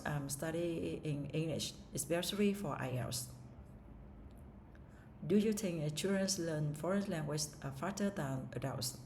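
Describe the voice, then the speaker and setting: A woman's voice: low-pitched, darkish tone, slight background noise. A woman whose first language is Vietnamese, practicing English speaking-test answers aloud, asking herself the questions and answering with hesitations and restarts.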